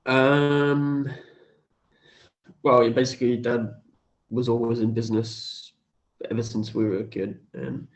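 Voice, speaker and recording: A man's voice: medium pitch, flat delivery, unhurried, long pauses, rough recording. A man, chatting in a laid-back, understated way as an interview guest. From Australia. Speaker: cafe owner and interview guest. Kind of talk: casual interview chat.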